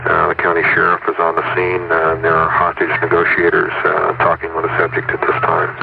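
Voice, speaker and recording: Deep monotone man, halting official statement. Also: vocal fry. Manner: hesitant, flat, official, many uhs. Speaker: police lieutenant. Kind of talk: halting spoken statement to press. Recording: noisy phone-like 1970s radio clip.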